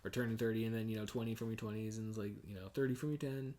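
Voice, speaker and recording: A man's voice: fairly low pitch, steady tone, rapid-fire, warm tone. A man in his forties hosting a podcast, telling stories in a loose, laid-back way, laughing often and peppering his talk with swearing and "dude". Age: 40s. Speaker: podcast host. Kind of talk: casual chat.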